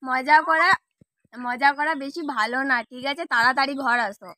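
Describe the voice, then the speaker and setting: A woman's voice: sits high, normal speed, sharp tone, no echo, studio quality. A woman, nagging and scolding insistently in a casual prank phone call. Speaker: prank caller posing as the wife of the person called.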